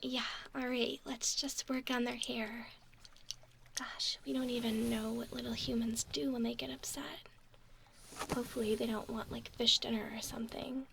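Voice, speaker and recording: A woman playing a wry, doting, bossy young mermaid in a whispered, husky ASMR roleplay. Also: a little high, steady tone, slight background noise. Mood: mildly exasperated. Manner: unhurried, gently chiding. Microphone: 3Dio binaural microphone, close.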